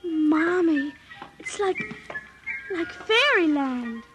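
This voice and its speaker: A woman's voice: extremely high pitch, expressive, extremely slow, music in the background, exaggerated voice. An actress playing an eager, wide-eyed little girl with a pleading, childlike manner in a 1950s radio drama. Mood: awed.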